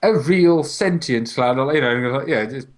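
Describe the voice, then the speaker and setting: A man with a middle-pitched voice talks in loose, rambling bursts. He sounds like a podcast host riffing with friends, casual and happy to swear. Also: animated, unhurried. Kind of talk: casual banter between friends.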